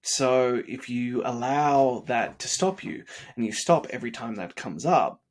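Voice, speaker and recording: Man, 30s, stuffy and worn out, earnest motivational ramble with fillers, mid pitch. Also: measured pace. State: congested and sniffly; tired. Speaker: vlogger. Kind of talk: late-night motivational vlog.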